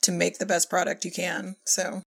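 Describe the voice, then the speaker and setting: A woman's voice: lowish voice, monotone, moderate pace, gravelly, slightly rough. A woman in a casual podcast discussion, explaining her points with emphasis, like an experienced teacher and director. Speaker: podcast guest, director and former filmmaking teacher.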